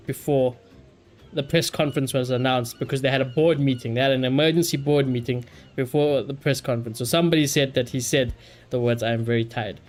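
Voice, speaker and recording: A man live streaming a game, chatting casually and giving opinionated asides, often repeating his phrases. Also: medium pitch.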